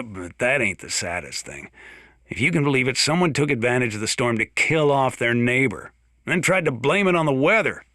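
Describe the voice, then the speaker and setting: Folksy American mountain sheriff, bright gritty edge. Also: male voice, medium pitch, no echo, clean studio sound. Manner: folksy, wry, drawling storyteller. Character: folksy, gruff, sardonic older small-town mountain sheriff. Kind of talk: in-character radio monologue for a video game.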